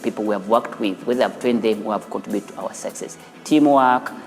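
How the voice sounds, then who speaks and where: A Ugandan man speaks as a studio founder being interviewed for a news feature. His voice sits fairly low. The sound has a long reverb, with music playing quietly underneath. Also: brisk.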